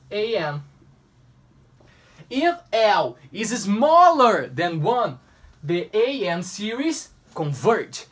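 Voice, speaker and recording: A man's voice: sits high, recorded from a distance. A young man from Brazil with a Brazilian Portuguese accent, Portuguese his first language, working a math problem on a whiteboard and playfully talking to himself. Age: young adult. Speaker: math tutor. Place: small room at a whiteboard. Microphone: camera mic at a distance.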